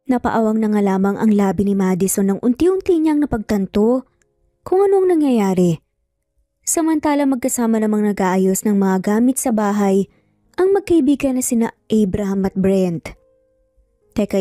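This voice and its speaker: A woman narrates a scripted drama in a very mellow, soft-edged tone. Her voice sits fairly high, and the recording is very dry and close, with music underneath. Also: animated, moderate pace, no room sound. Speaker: story narrator.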